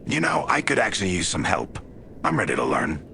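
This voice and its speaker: Gravelly low-voiced wry stalker. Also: male voice, huge pitch swings, brisk, a little hiss or hum, full character voice. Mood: plain, willing. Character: gruff male rookie stalker, wry and world-weary, narrating. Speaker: player character and narrator. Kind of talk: cinematic game voiceover, first-person narration. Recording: loud music under the voice. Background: loud music.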